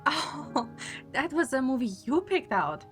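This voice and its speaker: Lady playing a young girlfriend, playful but wistful, fairly high voice, romance audio drama, soft music behind. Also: very animated, unhurried. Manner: playful, affectionate.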